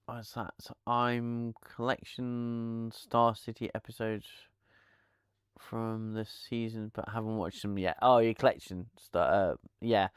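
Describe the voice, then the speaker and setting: A man chats loosely through a live stream. His voice sits a little low, and he rambles and restarts often. The lavalier sound is close and dry, with no room. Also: little pitch movement, slow pace, clear, resonant tone, no echo. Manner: rambling, chatty, frequent restarts and laughter. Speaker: channel host. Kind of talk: casual live-stream chat. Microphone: lavalier mic.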